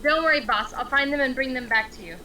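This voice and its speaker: A woman's voice: high voice, fast, pushed and bright, a little hiss or hum, poor audio. A woman playing a tough, ruthless female enforcer in a script table read, blunt and commanding. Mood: assured.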